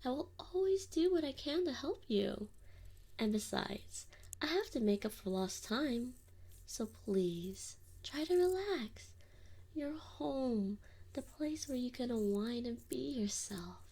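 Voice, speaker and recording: A woman playing a doting, gently teasing wolf mother in an ASMR roleplay, speaking softly and often in a whisper. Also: a little high, animated, husky, heavily acted. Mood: soft, coaxing. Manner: soft, slow, often whispered. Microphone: binaural mic.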